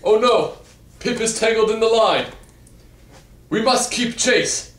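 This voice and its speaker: Frantic whaling mate, acted by a man, raised high pitch, melodramatic exclamations, puppet comedy, roomy distant sound. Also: lively intonation, slow pace, fairly bright tone, exaggerated voice. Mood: urgent, commanding. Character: frantic whaling ship mate shouting orders at sea. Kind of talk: comic puppet show performance.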